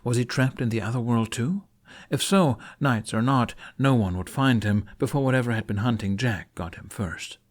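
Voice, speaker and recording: A man reading a story aloud as narrator in an expressive audiobook style. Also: medium pitch, moderate pace.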